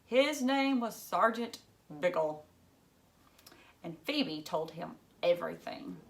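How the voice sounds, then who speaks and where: A woman narrating a children's novel aloud in an expressive read-aloud style. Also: fairly low pitch, slow.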